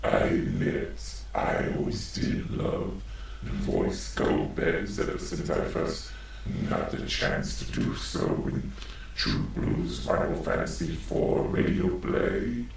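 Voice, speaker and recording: A man voicing an ominous, slow-speaking dark villain with a gravelly, rumbling voice and an echoing vocal effect. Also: very animated, full character voice. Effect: deep echoing vocal effect. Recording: cavernous echo with faint noise.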